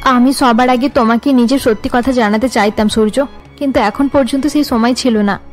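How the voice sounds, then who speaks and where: A woman's voice: fairly high pitch, steady tone, fairly quick, soft background music, close to an everyday voice. A woman playing a resolute young policewoman and wife in a cartoon drama, explaining earnestly. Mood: apologetic.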